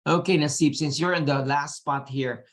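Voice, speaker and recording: A moderator running a student panel, calling on panelists by name and prompting them briskly with questions. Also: mid-range voice, fairly even intonation.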